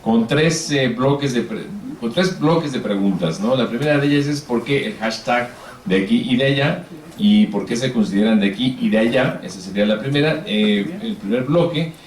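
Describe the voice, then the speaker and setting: A man's voice: mid-range voice, animated, moderate pace, slight background noise. A man moderating a public panel, politely explaining the format and calling up speakers, with frequent hesitation fillers. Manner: organized, polite, filler-heavy. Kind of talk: event moderation. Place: event hall. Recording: echoey hall, distant mic.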